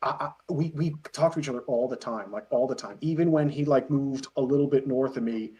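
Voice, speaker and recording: A man chatting casually as an interview guest, telling stories in an animated way and acting out the dialogue. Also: medium pitch, brisk. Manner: animated storyteller who acts out quoted dialogue. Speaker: interview guest, web parody creator. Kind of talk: casual anecdotal chat.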